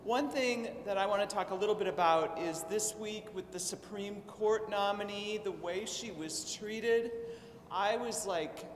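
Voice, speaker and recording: An audience member asking questions at a town hall in a chatty manner. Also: male voice, sits high, measured pace. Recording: echoey hall with faint noise.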